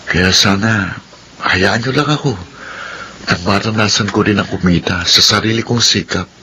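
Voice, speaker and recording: A man's voice: on the low side, normal speed, sharp tone, slight background noise. A man acting an earnest, apologetic young son and older brother in a Filipino radio drama.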